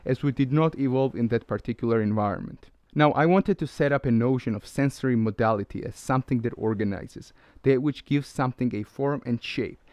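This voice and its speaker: Guy narrating a video essay, lecture tone, mid pitch, dry close sound. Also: a little mellow, no room sound. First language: Georgian. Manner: measured, lecturing. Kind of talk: scripted narration.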